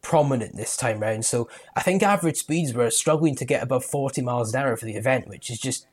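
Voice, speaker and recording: A man's voice: mid-range voice, a little fast, a little mellow. Young man with mild Scottish accent. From Scotland. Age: young adult. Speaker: motorsport writer, podcast guest. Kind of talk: casual podcast commentary.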